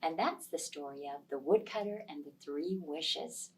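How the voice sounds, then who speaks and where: A woman telling a story aloud to young children, with expressive, dramatic delivery and emphasis on key words. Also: sits low, moderate pace, distant mic, a little hiss or hum, rough recording.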